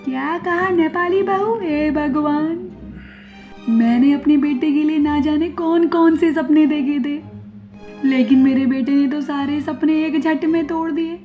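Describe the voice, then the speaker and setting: A woman playing a suspicious, dramatic middle-aged mother-in-law in an animated moral story, exclaiming and complaining. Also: high voice, measured pace. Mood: dismayed, scolding. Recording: dull lo-fi audio, music behind.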